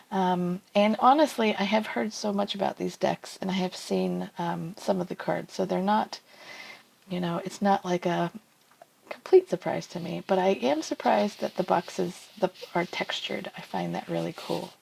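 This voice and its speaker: A woman's voice: medium pitch, gravelly, a little mellow, rough recording. A woman from New Zealand with a New Zealand accent, chatting casually and enthusiastically to camera as a tarot channel host, with lots of ums and asides.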